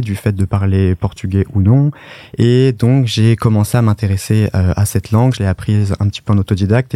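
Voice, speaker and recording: A young adult man from the Paris suburbs, a doctoral student speaking as a guest in a relaxed, hesitant way. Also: fairly low pitch, dry recording. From Paris suburbs, France. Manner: relaxed, hesitant, with many fillers and restarts. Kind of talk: casual interview talk.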